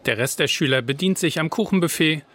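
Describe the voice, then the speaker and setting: A man's voice: on the high side, a little fast, a bit bright. A man narrating a scripted radio news feature as a reporter.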